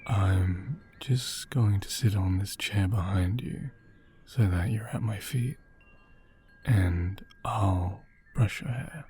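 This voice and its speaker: A man playing a gentle, attentive doll repairer in an ASMR roleplay, speaking softly and often in a half-whisper, unhurried and soothing. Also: fairly low pitch, slight background noise. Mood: tender, soothing. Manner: unhurried, hushed, murmuring with thoughtful hums.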